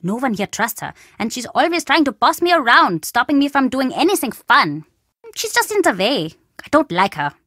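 Cheeky, high-voiced young boy. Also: sing-song, moderate pace, a bit bright, no room sound, studio quality, heavily acted. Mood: resentful. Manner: cheeky, dramatic, childlike bluster. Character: mischievous, imaginative, chatty young boy. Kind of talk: video game character dialogue.

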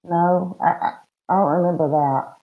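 A woman's voice: fairly low pitch, fairly even intonation, normal speed, gravelly. A middle-aged woman with a Southern US accent, co-hosting a true-crime livestream from home in blunt, folksy casual chat over a webcam. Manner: chatty and blunt, folksy slang. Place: room at home. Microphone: webcam on a video call. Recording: dull lo-fi video call audio.

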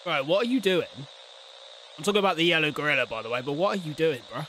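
A man's voice: a little high, animated, quick pace, sharp tone, slight background noise, rough recording. A young man giving casual, slangy reaction commentary with blunt swearing and muttered asides into a close desk mic. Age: young adult. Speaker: reaction video host. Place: indoor room at home. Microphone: close desk condenser mic, headset on.

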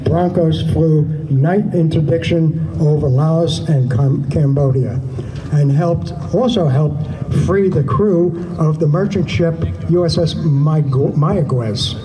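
A man giving a talk in an aircraft hangar, reading prepared notes with asides, halting with many fillers and restarts. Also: fairly high pitch, mellow. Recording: noisy hangar with vehicle sounds.

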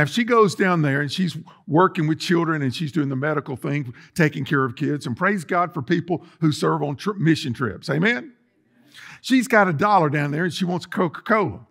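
A man's voice: a little high, a bit bright. A middle-aged man preaching as a pastor in a church, explaining things conversationally and putting questions to his listeners. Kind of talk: sermon, conversational teaching. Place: church sanctuary, indoors.

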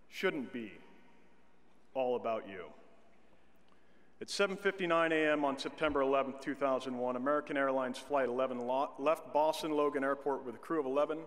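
A middle-aged man delivering a commencement address at a podium, speaking in a measured way with slow, deliberate emphasis. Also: fairly high pitch. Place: large indoor arena stage at a podium. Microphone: podium microphone. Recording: long arena reverb.

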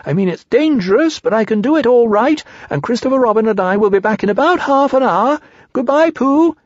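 A very small, anxious piglet from a children's storybook, with a squeaky, very high voice. He sounds earnest and nervous, in a dry, slightly dull recording. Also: animated, fairly quick, no room sound. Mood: excited, brave.

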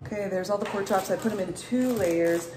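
A woman's voice: mid-range voice, brisk. A woman in her thirties chatting to her viewers while she puts away groceries in her home kitchen, filmed on a phone. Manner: chatty, asks viewers questions. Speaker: vlogger. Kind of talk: casual chat to camera. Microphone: handheld phone, often set down at a distance. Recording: echoey kitchen, mic far off, noisy.

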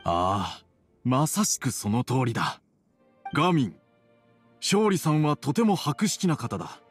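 A man's voice: medium pitch, huge pitch swings, measured pace, breathy edge, soft background music, exaggerated voice. An actor playing a middle-aged tea merchant and father in a Japanese game, speaking with polite, dignified formality. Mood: courteous.

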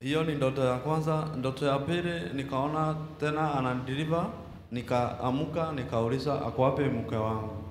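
A man's voice: medium pitch, a little fast. A middle-aged man with a Kenyan accent giving testimony as a congregant, in short segments. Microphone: handheld mic held to his mouth. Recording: echoey noisy hall.

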